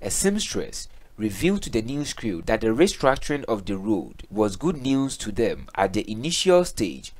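Male news reporter, Ghanaian accent, lowish pitch with very varied delivery. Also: big pitch swings, normal speed, hardly any pauses. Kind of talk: scripted news narration.

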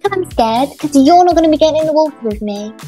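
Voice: high-pitched, lively intonation, quick pace, dark tone, faint music behind. A performer voicing a cheeky, dramatic toddler girl who pretends to be a cat, whiny and sassy, in a game roleplay. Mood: reluctant, scared.

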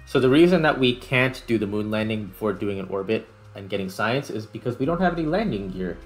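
A man with a fairly low voice hosts a gaming session in casual commentary. Faint music plays behind his talk. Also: lively intonation, a little fast. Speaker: host, gamer. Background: background music.

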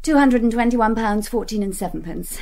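A woman's voice: fairly high pitch, a little fast, a little mellow, close to an everyday voice. An actress playing a spoiled, brazen young English society woman in a radio play, with a British accent and a cool, clipped, imperious manner. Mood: brazen, worldly.

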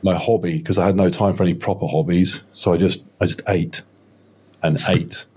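Low husky man, about fifty. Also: fairly even intonation, moderate pace, a little mellow. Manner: rambling, self-deprecating, many restarts. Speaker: guest. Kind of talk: casual podcast interview. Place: home studio room, indoors. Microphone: close studio mic with headphones, video call.

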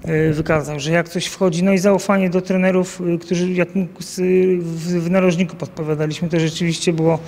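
A man's voice: on the high side, little pitch movement, brisk, few pauses, darkish tone, big-room sound. A man in his thirties, a coach, answering interview questions outdoors into a handheld mic, with many fillers and restarts. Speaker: national team coach. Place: outdoors by a banner at an event. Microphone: handheld mic held by interviewer.

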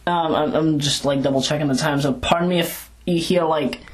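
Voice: mid-range voice, fairly even intonation, fairly quick, poor audio. An informal audio blogger with a mid-range voice talks off the cuff into a handheld recorder. The delivery rambles and hesitates, with frequent self-corrections, in the manner of a casual personal log. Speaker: video blogger. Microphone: handheld audio recorder moved back and forth.

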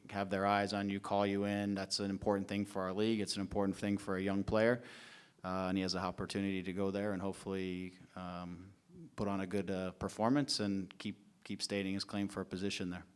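A man in his forties, a head coach, answering questions at a press conference table mic, in a measured way with many fillers and restarts. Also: on the low side, smooth. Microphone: table mic in front of him.

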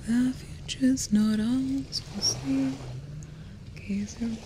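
A young woman whispering and softly singing lullabies as an ASMR host, recorded close on a binaural mic in a small room. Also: fairly high pitch, fairly even intonation, takes it slowly, background noise. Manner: soft whispering, sings lullabies quietly.

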